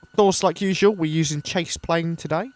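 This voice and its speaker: A man with a sniffly voice from a coming cold, calmly thinking aloud step by step as he streams a flight simulator session. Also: on the high side, normal speed, no room sound. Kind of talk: casual live walkthrough of a flight simulator.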